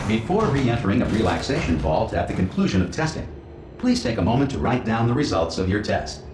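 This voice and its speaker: A cheery recorded facility announcer. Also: male voice, lowish voice, noisy background. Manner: cheerful, formal announcer cadence with deadpan dark humor. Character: calm male pre-recorded facility announcer, chipper, corporate. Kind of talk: video game voice acting. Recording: echoey PA announcement with music.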